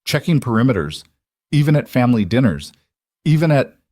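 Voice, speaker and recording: A man's voice: mid-range voice, very animated, brisk, darkish tone, dry recording, clean studio sound, voice pushed up. Man reading as a frank, wry sister. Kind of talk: audiobook character dialogue.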